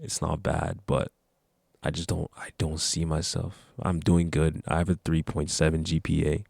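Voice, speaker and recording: A young man of nineteen speaks to his camera in an earnest, rambling way, full of restarts. His voice sits very low with a gravelly fry and little rise and fall. The sound is dry and close. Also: little pitch movement, normal speed, a little mellow, dry recording. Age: young adult. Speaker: vlogger. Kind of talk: casual talk to camera.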